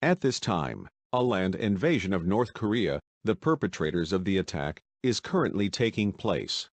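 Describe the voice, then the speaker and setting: A man playing a solemn head of state giving a formal, deliberate emergency address to the nation. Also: deep voice, sing-song, no room sound, full character voice. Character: solemn male US President addressing the nation in crisis.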